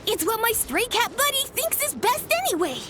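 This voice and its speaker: A woman playing a tiny, excitable, childlike companion creature in a video game, with exclamatory delivery. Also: very high voice, normal speed, background noise. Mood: insistent. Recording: loud music behind.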